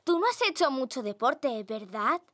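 A woman voicing a bossy, energetic little girl coach in a children's cartoon, commanding and encouraging. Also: high-pitched, normal speed, noisy background. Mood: instructive.

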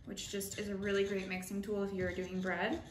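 A woman's voice: medium pitch, little pitch movement, normal speed. Young woman walking you through baking at home, chatty and friendly, roomy kitchen sound w a little hiss. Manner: friendly, chatty, explains step by step. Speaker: home baker and channel host. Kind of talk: casual baking tutorial. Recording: echoey kitchen with faint noise.

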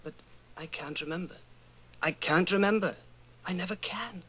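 An actor playing a brooding, fearful middle-aged secret rebel, speaking an intense, halting inner monologue in a radio drama. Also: male voice, high-pitched, pushed and bright, slightly rough, heavily acted. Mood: wistful, troubled. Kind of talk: old-time radio dramatization, interior monologue.

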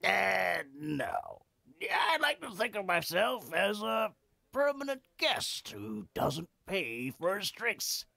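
A scruffy old video game veteran with a very creaky, raspy voice. He is an irreverent, boozy freeloader who drags his words slowly and theatrically, swinging widely in pitch. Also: big pitch swings, pushed and bright, no echo, full character voice. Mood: cheeky. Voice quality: gravelly, raspy. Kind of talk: video game character acting.

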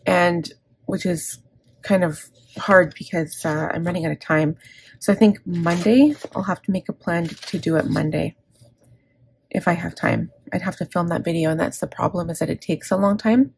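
A middle-aged woman with a scratchy, gravelly throat, chatting casually and candidly through her planner, rambling and correcting herself. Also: lowish voice, normal speed, darkish tone. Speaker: planner vlogger. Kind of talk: casual plan-with-me chat. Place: indoors at a desk.

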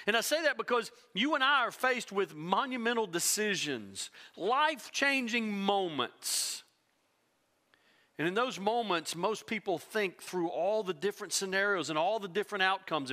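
A middle-aged man preaching a sermon from a church stage, animated and swinging between loud emphasis and hushed tones. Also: high-pitched. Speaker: pastor.

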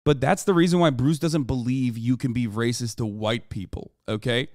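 A man's voice: mid-range voice, steady tone, moderate pace, a little mellow, dry recording. A man in his thirties giving emphatic, profane, lecturing political commentary on a livestream into a close desk microphone. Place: small room at home, indoors.

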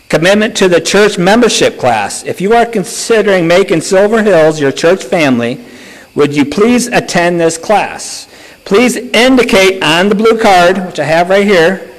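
A man giving casual, joking church announcements, chatty and self-deprecating. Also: fairly high pitch. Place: church sanctuary. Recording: long church reverb.

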